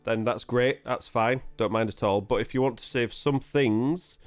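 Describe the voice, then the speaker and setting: A man narrating as game master in a relaxed, chatty way. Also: mid-range voice, normal speed.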